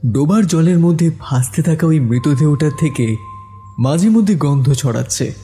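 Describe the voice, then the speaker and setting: Mellow male horror story narrator. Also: mid-range voice.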